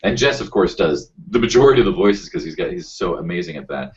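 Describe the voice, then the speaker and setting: A man with a very low voice, a voice actor chatting casually as a podcast guest, rambling and self-deprecating as he tells stories. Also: lively intonation, fast talker, dull audio.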